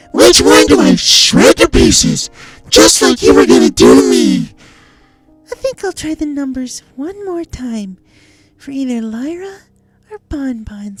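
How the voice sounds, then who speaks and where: High, manic girlish cartoon pony villain. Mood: manic intensity, then menacing, wounded. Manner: sugary, theatrical, taunting. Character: manic, girlish cartoon pony killer, sweetly menacing female. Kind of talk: dramatic fan fiction reading in character. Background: music.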